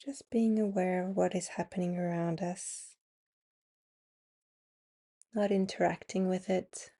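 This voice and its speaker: A woman guiding a yoga nidra meditation, giving soothing, unhurried instructions with long pauses between phrases. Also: on the low side, steady tone, mellow. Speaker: meditation guide and podcast host.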